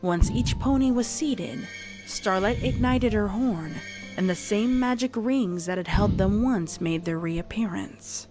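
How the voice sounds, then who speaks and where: A woman's voice: medium pitch, a little slow, over music. A woman narrating a scripted story as the storyteller.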